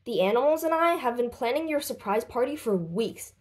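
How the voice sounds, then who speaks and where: A kind, cheerful storybook girl, voiced by a woman. She explains things reassuringly in a fairly high voice with very lively intonation. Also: a little fast, lightly acted.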